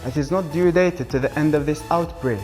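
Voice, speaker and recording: A young man in his twenties reads a rehearsed pitch to a panel of judges. His delivery is formal and his voice sits slightly high. Faint music plays behind him. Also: a little fast, darkish tone, long reverb tail. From Algeria. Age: 20s. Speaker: student presenter addressing a jury. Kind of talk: reading a prepared pitch presentation.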